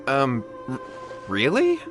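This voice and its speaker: A man's voice: mid-range voice, huge pitch swings, slow. A man playing an earnest, anxious young man in a video game, pleading and deferential. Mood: flustered. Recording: music playing under the voice.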